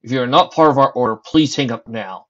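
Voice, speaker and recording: A man's voice: mid-range voice, fast talker, pushed and bright, lightly acted. A man voicing a stiff, formal headquarters phone operator, on a dull lo-fi recording.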